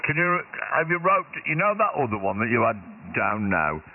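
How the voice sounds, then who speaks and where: A man, an amateur radio operator talking on a radio net, halting as he reads out settings step by step. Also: mid-range voice, big pitch swings. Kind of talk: on-air radio net chat. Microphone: radio transceiver microphone. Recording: tinny radio transmission with hiss.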